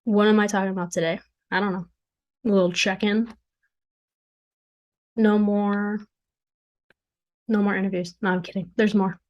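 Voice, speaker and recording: A young woman with a hoarse voice, hosting her own podcast in casual, chatty solo talk from her dorm room, with self-deprecating jokes. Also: medium pitch, level tone, moderate pace, long pauses, a little mellow, highs cut off. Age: young adult. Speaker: podcast host and founder. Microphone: desk mic at a computer.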